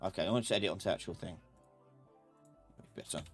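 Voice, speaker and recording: A man giving a live software tutorial as an instructor, informally thinking aloud and talking to himself as he works. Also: deep voice, quick pace, soft background music. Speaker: instructor of a live online class. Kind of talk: live software tutorial, explaining while working.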